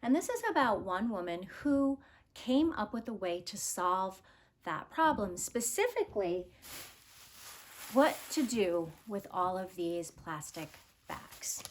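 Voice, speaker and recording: A woman reading a children's picture book aloud like a teacher, with deliberate, expressive storytelling delivery. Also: mid-range voice, a little hiss or hum.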